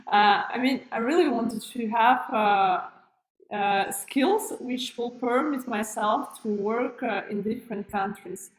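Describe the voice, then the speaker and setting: Woman in her 30s from Kazakhstan, webinar host, halting delivery full of fillers, webcam sound in an echoey room. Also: fairly high pitch. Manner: hesitant, many fillers and restarts, non-native phrasing. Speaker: webinar presenter, school founder. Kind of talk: live webinar presentation. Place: room with plain wall, indoors. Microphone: webcam on a computer, video call framing.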